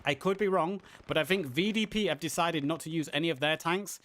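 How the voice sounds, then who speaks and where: A man casting a live game match, play-by-play with fillers, a little hoarse as he is losing his voice. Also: on the high side, a little fast. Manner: excited play-by-play with frequent uh fillers. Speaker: esports caster. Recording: audible game gunfire and artillery behind. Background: game gunfire and artillery sounds.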